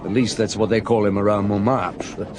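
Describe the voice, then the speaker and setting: An actor playing a genial, unhurried Paris police chief inspector in a vintage radio drama, relaxed and chatty, searching his memory aloud. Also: fairly low pitch, lightly acted. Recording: old broadcast with steady hiss.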